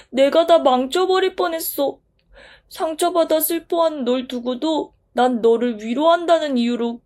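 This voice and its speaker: A woman voicing a high, tender little wind. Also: darkish tone. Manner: earnest and emotional. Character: small gentle wind, tender and sensitive. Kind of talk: storybook voice acting.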